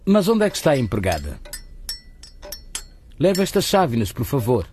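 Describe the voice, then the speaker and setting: A man's voice: mid-range voice, huge pitch swings, normal speed, a little mellow, a little hiss or hum. An actor playing a middle-aged businessman father in a radio soap, courteous but evasive and easily irritated. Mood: fatherly, probing. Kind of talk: radio soap opera acting.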